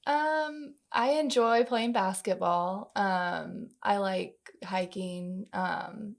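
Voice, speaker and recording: A woman's voice: mid-range voice, drawn-out words, creaky. A woman answering questions conversationally as a podcast guest, in an informal, unpolished way. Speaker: podcast guest, marketing manager.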